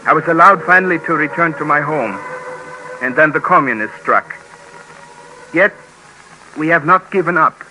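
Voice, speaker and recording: Young male survivor, earnest, solemn, weary, measured, sharp bright tone, 1950s radio documentary. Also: on the high side, animated, slight background noise, poor audio. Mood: earnest, grateful. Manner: solemn, measured, formal pleading. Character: weary 24-year-old married Eastern European survivor, earnest letter writer.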